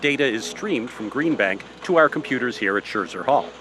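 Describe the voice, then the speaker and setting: Radio play role: affable, slightly hesitant male professor, played by an actor, animated intonation, mid-range pitch, explanatory. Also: moderate pace, music in the background, lightly acted. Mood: eager, explaining. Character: affable male astronomy professor being interviewed by phone. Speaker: professor of astronomy.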